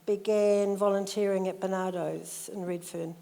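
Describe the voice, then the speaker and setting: A middle-aged woman with a New Zealand accent, chatting warmly with plenty of ums in a church interview. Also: mid-range voice, fairly even intonation, moderate pace, gravelly. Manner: warm and chatty, with many ums. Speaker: social worker. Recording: echoey hall with long reverb.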